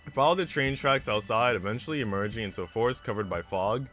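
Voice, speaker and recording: A man livestreaming a visual novel, moving between casual, chatty musing and expressive story narration. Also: on the low side, fast. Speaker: streamer reading a visual novel aloud. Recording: music playing behind the voice. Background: background music.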